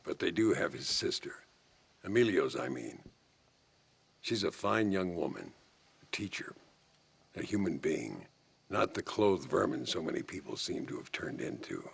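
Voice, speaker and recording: An actor playing a proud, righteous old gunslinger in a western video game, with a gravelly, theatrical way of speaking. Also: male voice, low voice, big pitch swings, measured pace, pauses often, full character voice. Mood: earnest. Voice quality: gravelly, husky.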